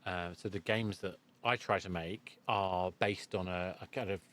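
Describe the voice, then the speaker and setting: Male podcast host, lowish voice, conversational, feels his way into each question. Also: steady tone, normal speed, a bit bright. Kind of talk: conversational interviewing.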